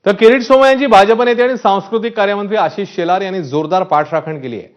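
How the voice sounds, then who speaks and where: A man's voice: high voice, quick pace. A man from reading scripted TV news in an emphatic, dramatic way. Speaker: news anchor. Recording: dull broadcast audio with music underneath.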